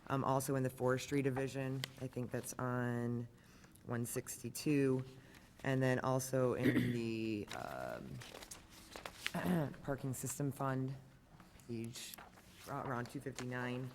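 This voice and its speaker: A man serving as an alderman, raspy-voiced, asking budget questions in a halting, methodical way at a council meeting. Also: medium pitch, a little mellow, slight background noise. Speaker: city alderman. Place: council chamber.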